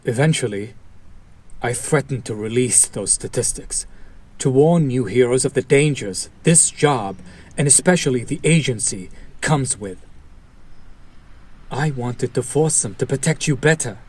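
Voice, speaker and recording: Man acting a husky, slow-speaking fallen hero. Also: mid-range voice, animated, darkish tone. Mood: grave, bitter. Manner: earnest, deliberate, intense, dramatic pauses. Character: fallen hero turned villain, idealistic, bitter, protective former partner. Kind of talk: dramatic audio roleplay monologue addressed to listener.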